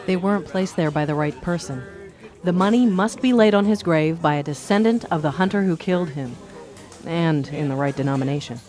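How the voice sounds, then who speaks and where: An actor playing a small-town bartender in a radio play, recounting a local legend in a measured, ominous manner. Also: medium pitch, a little mellow, natural voice. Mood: solemn. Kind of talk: dramatic storytelling in a radio play.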